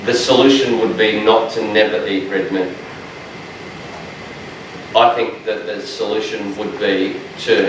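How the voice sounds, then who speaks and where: A man, a dietitian giving a lecture to health workers in a large room, with a loud, strong voice and a passionate, joking manner. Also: fairly low pitch, background noise. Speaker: dietitian presenter. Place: large echoey training room. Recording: echoey room, speaker far from mic.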